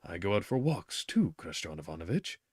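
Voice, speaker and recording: A man's voice: deep voice, big pitch swings, fairly quick, a little mellow, husky, dry recording, studio quality, heavily acted. A man doing a timid stammering clerk. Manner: hesitant, stammering, subdued. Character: timid awkward male clerk, middle-aged. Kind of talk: reading a novel aloud.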